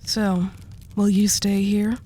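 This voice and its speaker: A woman's voice: medium pitch, level tone, slow pace, warm tone, a little hiss or hum, lightly acted. A woman acting as a dominant female mafia boss in an intimate audio roleplay, composed, teasing and coolly seductive. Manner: teasing, condescending endearments, composed.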